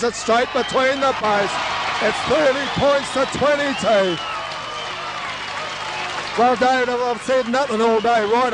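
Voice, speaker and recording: A hoarse man, excitedly calling a local rugby league game as play-by-play commentator. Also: sits high. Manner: excitable, voice soaring on breaks. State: hoarse, losing his voice. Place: outdoor grandstand at a suburban oval. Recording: old amateur sports recording with crowd noise. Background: crowd noise.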